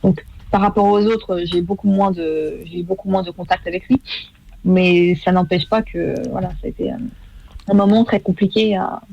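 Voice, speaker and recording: Give me a woman in her thirties speaking haltingly, with a creaky, gravelly voice. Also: fairly low pitch, steady tone, lo-fi sound. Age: 30s. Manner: hesitant, many fillers and restarts. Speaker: guest, former masterclass student. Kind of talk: casual radio interview.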